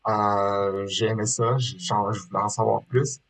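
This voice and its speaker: A man's voice: fairly low pitch, flat delivery, a little slow, creaky, a bit bright. Hesitant Québécois man, casual chat. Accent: Québécois French. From Quebec, Canada. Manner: hesitant, many fillers and restarts. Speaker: guest, sport shooter.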